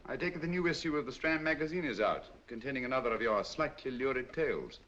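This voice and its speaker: An actor playing a cool, intellectual detective in a 1940s film, speaking in a measured, wry way. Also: male voice, medium pitch, expressive, fairly bright tone, natural voice. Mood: dry. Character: cool, precise male detective, intellectual and dry. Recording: dull lo-fi old film soundtrack.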